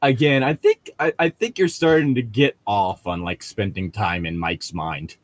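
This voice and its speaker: A man's voice: on the low side, normal speed, no room sound. A man co-hosting a read-along show, chatting casually between read passages with a mocking, sardonic manner.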